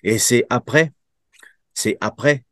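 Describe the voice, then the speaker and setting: A man in his fifties, from Portugal, giving a testimonial in an interview and answering haltingly with many fillers and restarts. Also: mid-range voice, fairly bright tone, no room sound, clean studio sound. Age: 50s. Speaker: program participant giving a testimonial.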